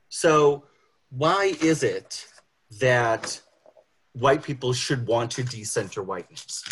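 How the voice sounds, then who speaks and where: A man with a husky voice giving a prepared talk on social justice as a panel speaker, phrasing it deliberately and with emphasis. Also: medium pitch.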